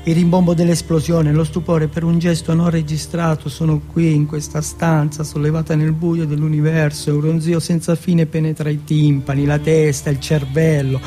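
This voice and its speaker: Guy doing a dramatic stage monologue, soft mellow tone, short broken phrases, bit of music behind. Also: a little high, flat delivery. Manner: halting, repetitive short phrases with dramatic pauses. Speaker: monologue performer. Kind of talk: dramatic first-person theatrical monologue.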